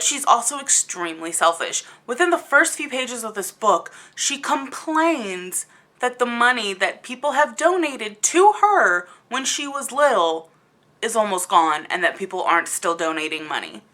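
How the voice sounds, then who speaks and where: A woman's voice: fairly high pitch, sharp tone. A woman giving a casual, opinionated review to camera in a blunt, exasperated, conversational way, leaning on words for emphasis. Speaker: book reviewer hosting a video channel.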